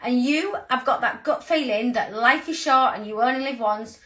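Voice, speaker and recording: A woman in her thirties with a croaky voice, giving an earnest, rambling motivational talk to her team. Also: a little high, normal speed, fairly bright tone. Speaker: sales team leader.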